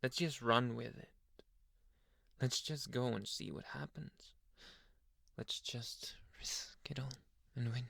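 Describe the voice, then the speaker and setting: A man voicing a devoted, possessive young alpha werewolf boyfriend in an intimate anime ASMR roleplay, breathy and often dropping to a whisper. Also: mid-range voice, no echo, studio quality, heavily acted. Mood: reassuring, determined. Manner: slow, sighing, soft murmured endearments. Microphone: close microphone, dry.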